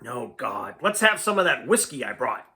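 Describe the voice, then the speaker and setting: A man voicing domineering wealthy man, storybook character, scornful, imperious, animated pitch, sharp tone. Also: moderate pace, slight background noise. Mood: scornful, impatient. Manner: curt, sneering, imperious. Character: domineering, scornful, impatient rich man in a mountain tale.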